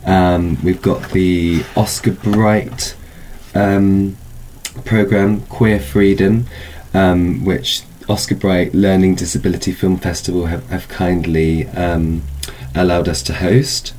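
A man's voice: deep voice, level tone, slow pace, a little mellow, slight background noise, low-quality recording. A man speaking as a festival organiser in a conversational interview, explaining a programme in searching phrases with repeated words.

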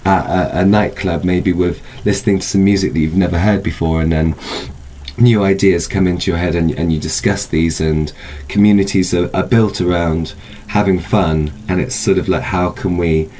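A man's voice: low voice, fairly even intonation, normal speed, darkish tone, a little hiss or hum. A man speaking as a festival organiser in a conversational interview, explaining a programme in searching phrases with repeated words.

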